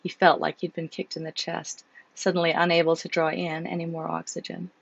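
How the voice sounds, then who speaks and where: A woman with a cold who chats casually as an author and then reads from her own novel, sounding hoarse. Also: lowish voice, completely flat delivery, brisk, dry recording, highs cut off. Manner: chatty, with fillers before reading. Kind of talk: casual chat, then book reading.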